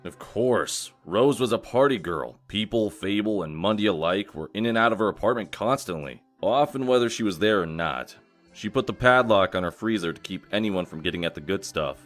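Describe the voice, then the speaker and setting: A man playing a gruff, dry detective cop in a comic dub, speaking in a measured, wry way. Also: lowish voice, expressive, a bit bright, faint music behind. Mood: dry, focused. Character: gruff male wolf detective cop, dry, theatrical about revealing culprits.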